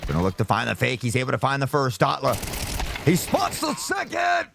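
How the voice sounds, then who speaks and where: A male esports caster with a slightly sore throat, calling play-by-play and erupting into loud shouts on big plays. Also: mid-range voice, wildly swooping pitch, brisk, vocal fry, background noise.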